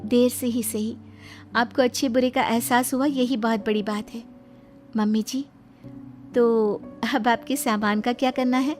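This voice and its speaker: A sweet young daughter-in-law played by a woman in a storybook family tale. She speaks politely in a fairly high voice, innocent but shrewd, with music underneath. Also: steady tone, measured pace, a little mellow, natural voice. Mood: earnest, reassuring. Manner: polite, pointedly earnest.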